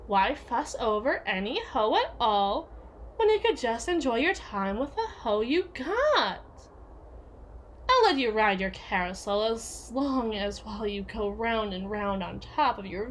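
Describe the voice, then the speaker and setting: A woman's voice: on the high side, a little hiss or hum. A woman playing a domineering, possessive, jealous young yandere in a scripted ASMR roleplay, with a sardonic, needling delivery. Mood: bitter, possessive. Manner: sardonic, foul-mouthed, needling, with hesitant trailing repeats.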